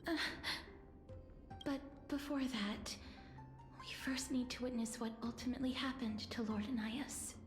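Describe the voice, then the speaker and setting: Woman as an earnest, high-voiced game heroine. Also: fairly even intonation, measured pace, close to an everyday voice. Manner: formal, solemn narration. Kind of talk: dramatic video game acting. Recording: echoey, music underneath.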